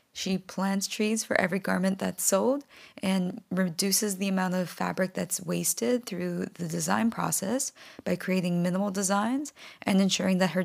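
Woman podcast host, low register, heavy vocal fry, steady scripted read.

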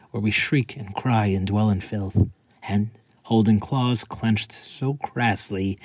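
A man's voice: lowish voice, husky, no room sound. A man who is a poet, reading his own prepared words aloud. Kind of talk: scripted introduction and poetry recitation.

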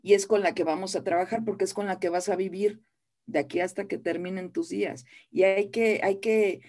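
A woman's voice: mid-range voice, steady tone, a little fast, darkish tone. A Mexican woman who teaches voice acting, speaking earnestly and encouragingly, repeating phrases for emphasis. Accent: Mexican Spanish. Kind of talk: interview guest.

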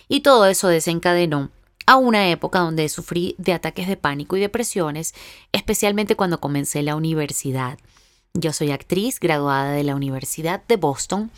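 A woman's voice: deep voice, normal speed, dry recording, clean studio sound. A young woman, hosting her own podcast in a heartfelt, reflective personal monologue. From Venezuela. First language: Spanish. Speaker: podcast host.

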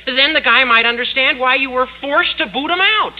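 A high-voiced, eager teenage boy. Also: normal speed. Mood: eager scheming. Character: eager, slangy teenage schoolboy. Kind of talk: 1940s-50s radio sitcom acting. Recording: muffled old radio recording.

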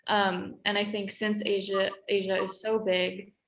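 Teen girl, student panelist, chatty and filler-heavy, middle pitch, roomy echo. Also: flat delivery, a little slow, vocal fry. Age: teens. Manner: chatty, frequent fillers, laughs between phrases. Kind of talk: casual conversation.

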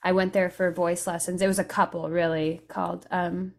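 A woman who grew up in Europe, talking casually as an interview guest, explaining things reflectively with thinking pauses. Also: fairly low pitch, level tone, gravelly. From Europe: Italy, England and France.

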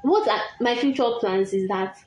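A woman's voice: mid-range voice, moderate pace, darkish tone, roomy sound, faint music behind. A young Nigerian woman hosting a podcast, chatting and teasing her friend with frequent laughs. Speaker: podcast host. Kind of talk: casual chat with a friend. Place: room in a village house.

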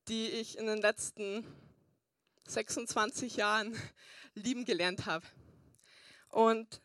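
A woman's voice: fairly high pitch, fairly bright tone, no room sound. A young woman pastor preaching a sermon and telling her personal testimony, earnest with frequent fillers. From Bavaria, Germany.

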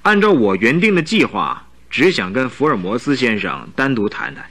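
Haughty young monarch, radio drama, sharp forceful voice, animated intonation, formal, secretive. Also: male voice, medium pitch, moderate pace. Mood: haughty, guarded. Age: young adult. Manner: formal, imperious, grave. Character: haughty young Bohemian king, secretive masked nobleman. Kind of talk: Mandarin radio drama acting.

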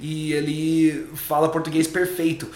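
A man's voice: on the high side, completely flat delivery, measured pace, darkish tone, roomy sound. A young adult man, a native English speaker, chatting casually as an interview guest, loose and repetitive, restarting his words. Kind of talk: relaxed interview chat.

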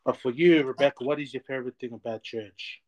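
A man hosting a podcast interview, lively and chatty, often repeating "amen" and filling his talk with restarts. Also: mid-range voice, fairly quick. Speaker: podcast host.

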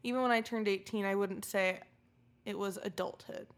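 A woman's voice: mid-range voice, steady tone, normal speed. Chatty twenty-year-old woman co-host. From California, USA. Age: 20s. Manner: bubbly, chatty and candid. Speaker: podcast co-host. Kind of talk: casual chat.

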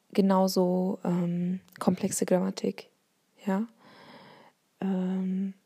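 Woman with a faint Bavarian lilt, solo podcast musing, mellow low voice, halting and reflective. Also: creaky, husky. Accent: light Bavarian German. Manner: thoughtful, many hesitations and fillers.

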